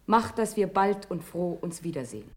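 A woman's voice: fairly low pitch, lively intonation, normal speed, darkish tone, lightly acted. An actress playing a gracious older noblewoman, an attentive aunt, in a classic radio drama with a courtly, solicitous manner. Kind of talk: classic German radio play stage acting.

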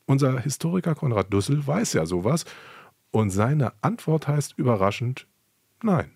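A man's voice: mid-range voice, sing-song, normal speed, gravelly, a little mellow, husky, dry recording, studio quality. A man co-hosting a history program in a colloquial, questioning and emphatic conversational manner. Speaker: co-host of a history podcast. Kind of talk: conversational radio commentary.

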